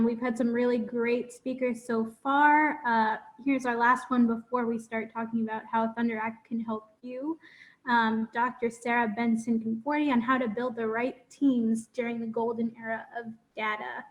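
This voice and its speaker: A higher-voiced woman hosting online, her pitch staying flat as she casually hands over to a speaker. Her sound is dull and lo-fi, coming through a video call. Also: moderate pace. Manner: casual, relaxed moderating. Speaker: conference host. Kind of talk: introducing a speaker.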